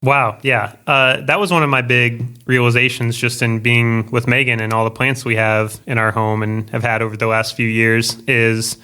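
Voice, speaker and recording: A man with a medium-pitched, bright and very clear voice hosts a casual interview. He talks in a loose, rambling style, rephrasing himself as he works toward a point. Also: little pitch movement, normal speed, few pauses. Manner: rambling, self-correcting questions. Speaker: podcast host.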